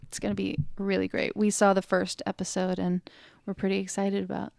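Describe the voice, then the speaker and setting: A woman's voice: mid-range voice, monotone, brisk, vocal fry, darkish tone, dry recording, studio quality. A woman from Ohio co-hosting a podcast, talking casually with many fillers. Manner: chatty, lots of "like" fillers. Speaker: podcast co-host. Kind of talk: casual chat.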